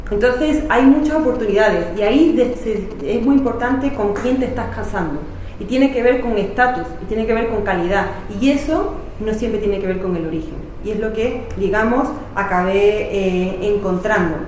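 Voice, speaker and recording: A woman's voice: medium pitch, animated, normal speed, a little mellow. Woman from Spain, history lecturer, explanatory, frequent fillers, heavy room echo, distant mic. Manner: explanatory, many fillers and rhetorical tags. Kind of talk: academic talk. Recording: echoey room, speaker off-mic, faint noise.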